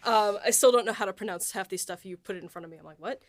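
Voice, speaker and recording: A woman's voice: medium pitch, racing, dry recording. A woman hosting a casual chat who laughs easily and puts loose, rambling questions to the writers. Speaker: host asking the writers questions.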